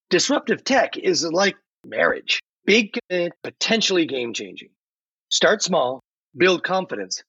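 A man playing a chatty AI podcast guest with a playful, theatrical, salesy delivery and dramatic pauses. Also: a little high, fairly bright tone, husky, exaggerated voice. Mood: confident, upbeat. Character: chatty, theatrical AI chatbot guest with pirate flair. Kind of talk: scripted podcast answers full of metaphors. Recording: slightly roomy, dull lo-fi audio.

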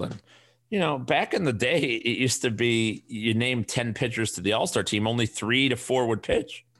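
A man's voice: on the low side, fairly bright tone. A middle-aged man with a Chicago accent, hosting a casual solo podcast and ranting in a blunt, profane, rambling way. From Chicago area. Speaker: podcast host.

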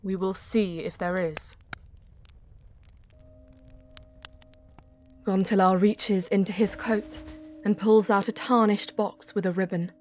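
A woman playing a solemn, guarded alien explorer in a science fiction audio drama, speaking softly and with deliberate care. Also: medium pitch, lightly acted. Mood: secretive, foreboding. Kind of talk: science fiction audio drama, poetic first-person narration. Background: music.

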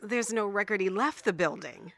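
Radio drama: precise policewoman, bright animated voice, formal reporting. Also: medium pitch, normal speed, dry recording, studio quality. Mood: brisk, factual. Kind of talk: radio play acting.

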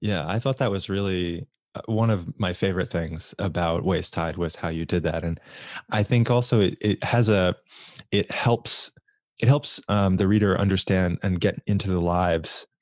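A man's voice: low-pitched, moderate pace, no room sound, studio quality. A man hosting a podcast, asking rambling, enthusiastic questions in a casual way. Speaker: podcast host.

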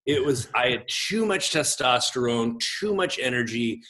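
Expressive big-voiced man in his forties. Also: medium pitch. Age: 40s. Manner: expressive, gregarious, halting with self-interruptions. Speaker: guest, pastor. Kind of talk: reflective personal storytelling in conversation.

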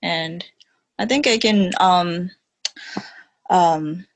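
Powolna Japonka z akcentem. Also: female voice, on the low side, no echo. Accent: Japanese. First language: Japanese. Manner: halting, giggly, many fillers. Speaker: guest. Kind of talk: casual interview chat.

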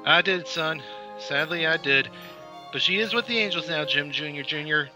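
A man's voice: fairly high pitch, normal speed, sharp tone. A man playing a broke, doting, mournful father in a comedic audio play. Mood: sorrowful. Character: broke, sorrowful doting wrestling-promoter father. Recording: dull lo-fi audio with music.

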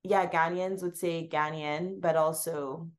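Canadian woman, interview guest, slightly low pitch, loose and chatty with filler words. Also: steady tone, measured pace. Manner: chatty, rambling, with frequent ums and laughs. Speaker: food blogger guest. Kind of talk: casual interview chat.

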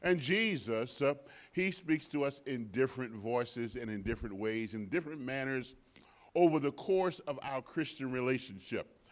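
A man in his sixties with a deep voice, preaching as a guest pastor in church with emphatic repetition that swings from soft to loud. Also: normal speed. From United States. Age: 60s. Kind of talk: sermon. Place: church sanctuary.

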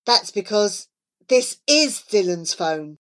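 A woman's voice: medium pitch, big pitch swings, slow, a bit bright. A woman voicing a bold, matter-of-fact young schoolgirl with an earnest, direct manner in a children's story.

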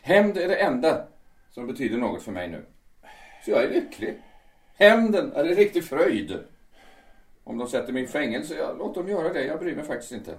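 A man in an audio drama playing a bitter understudy singer who calmly lays out his revenge, sometimes rising into fervent outbursts. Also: a little high. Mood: menacing calm. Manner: cold and deliberate, swelling into fervent outbursts. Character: bitter vengeful male understudy, calm and menacing. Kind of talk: amateur audio drama acting. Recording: roomy, distant mic.

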